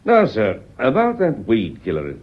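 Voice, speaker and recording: An actor playing a courteous, probing British police inspector in a radio mystery play, formal and deliberate in his questioning. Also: on the low side, huge pitch swings, exaggerated voice. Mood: insistent, courteous. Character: probing, measured, courteous male Scotland Yard police inspector. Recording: dull, muffled radio drama recording.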